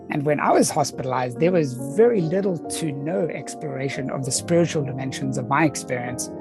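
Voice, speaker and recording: A woman giving personal testimony in an articulate, matter-of-fact way. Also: low voice. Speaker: former psychiatric patient giving testimony.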